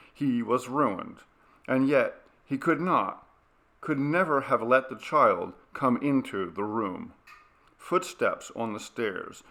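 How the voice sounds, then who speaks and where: A man narrating a horror story as an audiobook, with dramatic, deliberate and suspenseful phrasing. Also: medium pitch, fairly bright tone.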